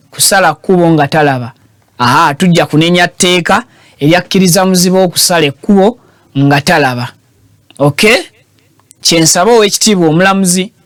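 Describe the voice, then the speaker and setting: A Ugandan man hosting a show, giving animated and teasing political commentary that switches languages mid-sentence. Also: high voice, dry recording, studio quality.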